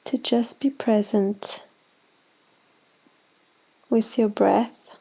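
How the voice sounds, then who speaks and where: A woman leading a guided meditation in a gentle, soothing, unhurried manner with long pauses. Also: fairly high pitch, fairly even intonation, gravelly, husky, low-quality recording. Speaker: yoga nidra meditation guide.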